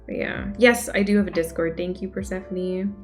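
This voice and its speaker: A woman's voice: on the low side, fairly even intonation, moderate pace. Chatty young streamer woman reading chat. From Denmark. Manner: chatty, reacts aloud to chat. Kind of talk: casual chat reading viewer messages. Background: faint music.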